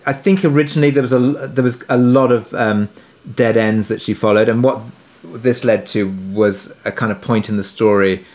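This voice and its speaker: A man speaking conversationally as an interviewed producer, halting and self-effacing, often rephrasing as he goes. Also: mid-range voice, steady tone, darkish tone. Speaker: audio drama writer and producer being interviewed.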